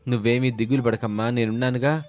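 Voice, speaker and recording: Male narrator acting a scheming village moocher for a cartoon folk tale, wheedling and smooth, faint music bed. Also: mid-range voice, steady tone, fast talker, darkish tone, lightly acted. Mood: smooth, reassuring. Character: sly toddy-loving village man, smooth-talking moocher. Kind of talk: animated moral story dialogue.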